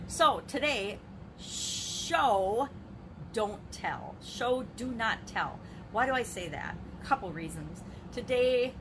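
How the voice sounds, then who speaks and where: An older woman talking candidly and off the cuff to camera as a business vlogger, rambling a bit, with emphatic phrasing. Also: mid-range voice. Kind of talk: unscripted talk to camera on a live video. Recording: clearly noisy background ambience.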